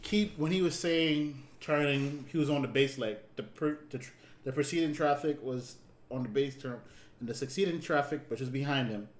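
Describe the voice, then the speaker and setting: A man with a medium-pitched voice reacts informally as an air traffic controller. He explains in a halting, demonstrative way, slipping between casual talk and clipped radio phrasing.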